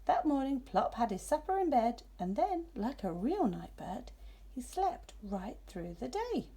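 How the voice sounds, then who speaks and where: Mellow, lilting woman reading a storybook. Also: on the high side, big pitch swings, measured pace, husky. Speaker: storybook reader. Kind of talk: expressive children's story narration.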